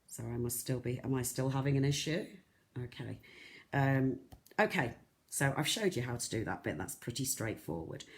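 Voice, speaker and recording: Craft host, croaky and soft, chatty live demo with frequent fillers and restarts. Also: mid-range voice, expressive, moderate pace. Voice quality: soft, not loud. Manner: chatty, rambling, lots of ums and restarts. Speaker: craft shop tutor. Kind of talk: live-streamed beading tutorial.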